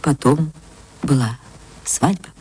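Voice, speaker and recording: A woman reading literary fiction as an actress narrator, expressive and theatrical, moving between hushed and swelling phrases. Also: sits low, takes it slowly.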